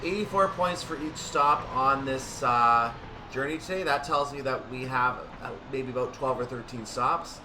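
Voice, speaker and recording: A man's voice: medium pitch, normal speed, recorded from a distance, background noise. A man giving casual gameplay commentary on a train simulator, thinking aloud and correcting himself as he goes. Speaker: gaming video host.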